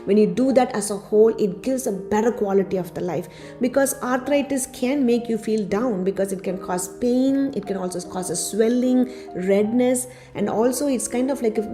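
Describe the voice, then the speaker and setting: A woman doctor giving a health talk to her channel's viewers, her voice a little raspy after a cold, steadily listing points one by one. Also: mid-range voice. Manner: steady explanatory delivery, lists items one by one. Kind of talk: informal health talk to camera. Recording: faint background music.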